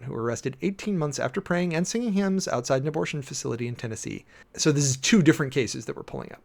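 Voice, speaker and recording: A man's voice: medium pitch, gravelly. An American man giving a dramatic reading with off-the-cuff commentary, in a sardonic, emphatic way with mock-exasperated asides, like a preacher-commentator.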